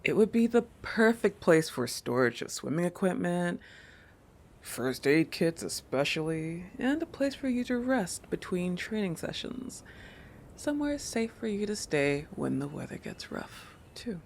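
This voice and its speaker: Casting: a woman as a self-assured, possessive shark girl for roleplay audio, slow and teasing, slipping into breathy whispers. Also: fairly low pitch, sing-song, slight background noise, heavily acted. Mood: proud, possessive. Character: possessive, territorial, playful young shark girl. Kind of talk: intimate roleplay audio acting.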